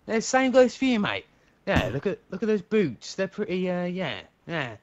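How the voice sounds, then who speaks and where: A cheeky British wheeler-dealer gent, acted by a man, high for a male and very lively in pitch. He rambles in matey, sweary slang, as a game role-play character over dull lo-fi audio. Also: normal speed. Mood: boastful, chatty. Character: cheeky, chatty British gentleman wheeler-dealer in a top hat.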